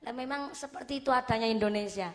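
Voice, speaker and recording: A middle-aged woman preacher speaks with a strong ngapak Javanese accent from Cilacap. Her voice sits a little high for a woman, and her delivery is animated and playful as she teases a crowd and moves between Javanese and Indonesian. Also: fast talker. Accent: Banyumasan (ngapak) Javanese. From Cilacap, Central Java. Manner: animated, joking, switches between Javanese and Indonesian, laughs often. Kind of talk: religious lecture to a crowd.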